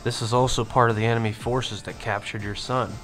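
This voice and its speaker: A man's voice: mid-range voice, steady tone, a little fast, fairly bright tone, natural voice. A man voicing a cold, calculating inner-voice companion in an amateur audio drama, speaking in a formal, coolly goading way. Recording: faint background music.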